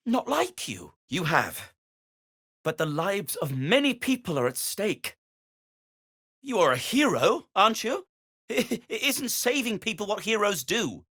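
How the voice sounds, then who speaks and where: A man's voice: sits high, sharp tone, breathy edge, heavily acted. A man playing an earnest, principled gnome in a video game, pleading and moralizing in articulate, emphatic phrases. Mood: gentle reproach. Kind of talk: dramatic video game dialogue acting.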